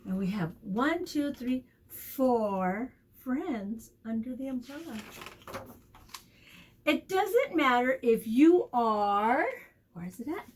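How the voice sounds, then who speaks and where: A woman teacher leading a storytime lesson for young children, asking questions, encouraging them and repeating key words deliberately. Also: on the high side, expressive. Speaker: preschool teacher.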